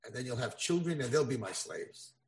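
A man doing a quiet, cold slave-master father. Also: medium pitch, expressive, brisk, natural voice. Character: harsh father who keeps the prince as a slave. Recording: echoey room.

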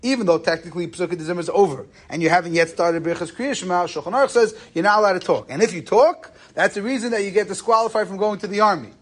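A man's voice: sits high, quick pace, few pauses. A man giving an informal religious lesson, posing questions and answering them, with Hebrew terms mixed into his speech. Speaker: rabbi giving a lecture.

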